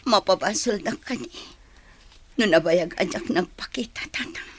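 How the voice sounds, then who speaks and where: A woman's voice: on the low side, moderate pace, husky. A woman with an Ilocano accent playing an earnest, homesick grown daughter in a radio drama, with sighing, emotional delivery. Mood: tearful, forgiving.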